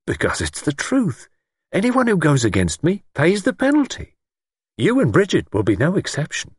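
A man voicing a pompous, grandiose lord in an audiobook, condescending and softly threatening, sure of his own higher power. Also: wildly swooping pitch, normal speed, slightly rough, dry recording, clean studio sound, full character voice. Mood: menacing grandeur. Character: pompous, menacing lord convinced a higher power serves him. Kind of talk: audiobook character acting.

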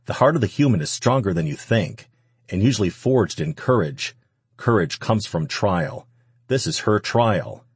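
A composed, wise winged mentor in an audiobook, read by a man, calm and instructive. His voice is low and husky, recorded dry. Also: normal speed, no echo. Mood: solemn.

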